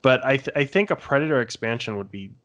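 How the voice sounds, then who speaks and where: A man's voice: medium pitch, quick pace, a bit bright, highs cut off. A man talking casually as a panellist in a fan discussion podcast.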